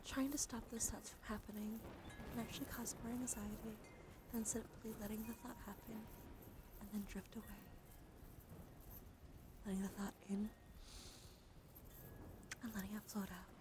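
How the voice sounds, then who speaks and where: A woman live streamer speaking in a soft, soothing, unhurried ASMR style, often slipping into a whisper, with a slightly strained throat, close to the microphone. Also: mid-range voice, fairly even intonation, noisy background. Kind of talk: soft ASMR, guided relaxation and chat.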